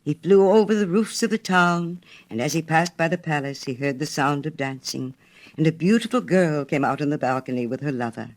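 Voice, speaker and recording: A woman narrating a storybook fairy tale in an expressive reading style. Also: deep voice, fairly quick.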